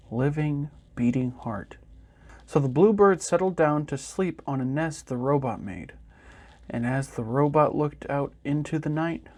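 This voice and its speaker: A man reading a children's picture book aloud in an expressive storytelling manner. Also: medium pitch. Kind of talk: children's story time narration.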